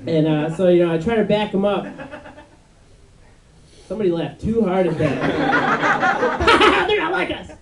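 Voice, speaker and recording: A young man doing stand-up on a dark club stage, his high voice swooping lively up and down as he rambles through fillers. He is caught from a distance, with the room's echo around him. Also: heavy background noise. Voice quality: high, unmasculine voice. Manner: rambling, halting with many fillers. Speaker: stand-up comedian. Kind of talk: stand-up routine. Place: dark club stage before a live audience. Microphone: handheld mic on a stand. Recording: echoey room, mic from far off.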